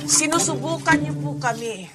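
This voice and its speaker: A woman's voice: high-pitched, moderate pace, natural voice. An actress playing a defiant, hopeful woman in a Filipino television drama. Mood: solemn, resolute. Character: defiant, hopeful woman speaking for her family.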